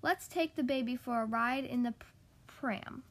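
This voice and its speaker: A woman voicing a kindly, reassuring mother in a children's storybook, with slow, drawn-out phrasing. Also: a little high, natural voice.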